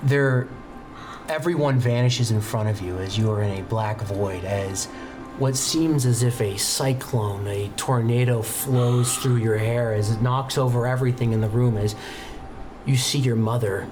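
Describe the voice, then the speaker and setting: Theatrical game master over music, low-key pitch. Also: measured pace. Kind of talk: improvised dramatic narration of a tabletop game. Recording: music bed under the voice.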